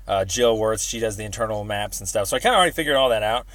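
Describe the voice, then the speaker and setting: A man with an American accent and a fairly low voice talks casually on a call. He rambles hesitantly, padding his sentences with ums and circling toward his point. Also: animated, fairly bright tone, no echo. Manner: hesitant, rambling, halting delivery thick with ums. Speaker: member of the public calling a city department. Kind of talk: casual phone conversation.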